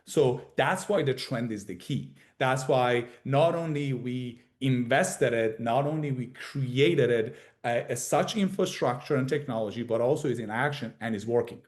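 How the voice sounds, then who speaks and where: A man speaking as a company executive on an earnings call, with halting delivery, often repeating and correcting himself. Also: mid-range voice. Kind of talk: investor earnings call presentation.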